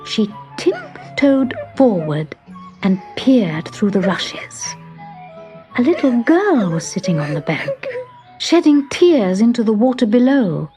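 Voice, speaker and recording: A woman reading a children's story aloud in an expressive, measured storytelling manner. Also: fairly high pitch, soft background music. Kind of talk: scripted children's story narration.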